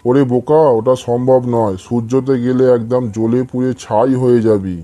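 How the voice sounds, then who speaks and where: A man's voice: mid-range voice, steady tone, normal speed, dark tone, natural voice. A man playing an exasperated cartoon schoolteacher, music behind. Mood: exasperated scolding. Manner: scolding, threatening, theatrical. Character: exasperated male village schoolteacher scolding cheeky pupils. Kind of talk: comedy cartoon dialogue in Bengali.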